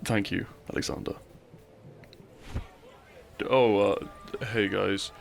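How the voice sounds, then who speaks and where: A man playing a runaway royal turned outlaw, a weary, tender and gently pleading lover in a romantic audio roleplay, with soft, sighing delivery. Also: on the low side, fairly even intonation, slow, creaky. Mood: injured, resigned.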